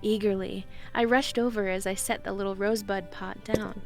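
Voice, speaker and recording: A woman performs a shy young druid who loves plants, in a romantic fantasy radio play. The voice sits at a medium pitch and sounds earnest and eager. Soft music runs behind it. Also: fairly even intonation, normal speed, natural voice. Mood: eager, tender. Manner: earnest, stammering when flustered in dialogue. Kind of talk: romantic audio drama, first-person narration and dialogue.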